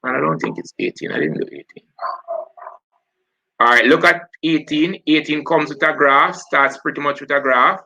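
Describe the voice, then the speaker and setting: A man's voice: fairly high pitch, lively intonation, moderate pace, creaky, sharp tone, rough recording. A man from Jamaica with a Jamaican accent, hosting a live online revision session and talking through past papers as he goes. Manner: talks while working through papers, self-correcting.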